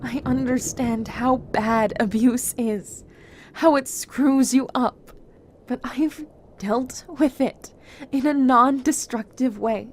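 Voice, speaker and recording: Woman acting a caring earnest friend in an audio drama, high voice, takes her time. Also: slight background noise. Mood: earnest pleading. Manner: earnest, gentle, unhurried.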